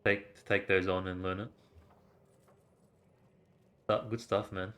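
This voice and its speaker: A man's voice: sits low, little pitch movement, slow pace, fairly bright tone. A man, a native Vietnamese speaker, chatting casually and rambling through gameplay commentary on stream.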